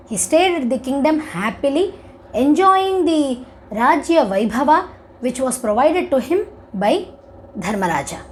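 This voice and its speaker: Expressive high-voiced Indian woman storyteller. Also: a little hiss or hum, poor audio. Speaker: storyteller giving religious discourse. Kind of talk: devotional moral storytelling.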